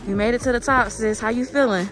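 A woman's voice: on the high side, steady tone, fast talker. A young woman filming a casual travel vlog on a phone at arm's length, chatting straight to her viewers from a car and outdoors. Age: young adult. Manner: chatty, addresses viewers directly. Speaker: vlogger. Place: car and outdoor mountain spots. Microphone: phone held at arm's length. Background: background music.

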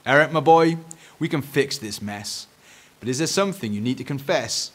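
A man's voice: mid-range voice, lively intonation, moderate pace, breathy edge. Man playing a kind, wise British dad. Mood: reassuring. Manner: reassuring, instructive. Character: kind, wise, forgiving father.

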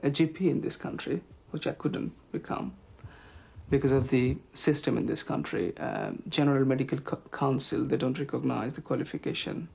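A middle-aged man from Bangladesh with a Bangladeshi accent, answering interview questions in a measured, hesitant way. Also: medium pitch, steady tone, creaky, darkish tone, breathy edge. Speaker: health promotion officer interviewee.

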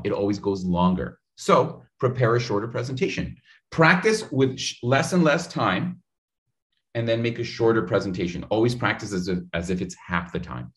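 A man in his thirties giving public speaking advice on a video call, talking in an animated, direct way with lots of gestures, recorded on a studio mic at home. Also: fairly low pitch. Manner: animated, gestures a lot, talks straight to the viewer. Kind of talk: energetic advice in an interview. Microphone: studio mic in frame on a video call.

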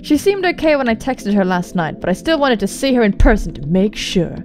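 Expressive, higher-pitched young woman gamer. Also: a little fast, a little hiss or hum. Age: young adult. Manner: expressive, sweary, jokey asides. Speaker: gaming video host. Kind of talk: let's play commentary and visual novel read-aloud. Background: music.

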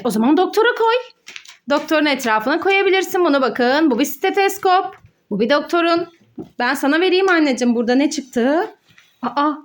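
A woman talking as a mother hosting a children's play video, encouraging and full of questions and exclamations. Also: high voice. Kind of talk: playful educational chat with child and viewers.

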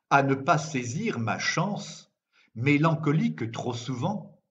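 A man reciting poetry as a poet reading his own work, in a measured, deliberate way with frequent pauses. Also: medium pitch. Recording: dull, lo-fi sound.

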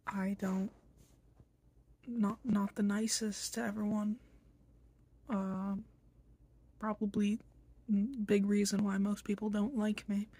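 A young woman talking to a phone in a small bedroom, blunt and irritable, halting with many fillers. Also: mid-range voice, little pitch movement, warm tone, slightly rough. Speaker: vlogger introducing themselves. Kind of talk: casual self-introduction to camera.

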